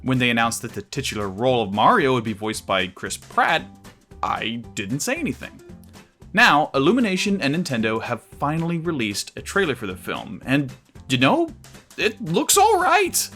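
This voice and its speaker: A man delivering scripted commentary as a video reviewer, in a measured, articulate way with emphatic phrasing. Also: medium pitch, wildly swooping pitch, pushed and bright, soft background music.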